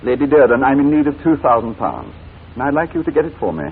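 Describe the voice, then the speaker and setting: Smooth villain blackmailer in 40s radio drama, quick polite threats, tinny noisy old recording. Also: male voice, medium pitch, very animated. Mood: coolly threatening. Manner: formal, smooth, mockingly courteous. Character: suave wicked male blackmailer, coolly polite and menacing. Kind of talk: 1940s radio drama acting.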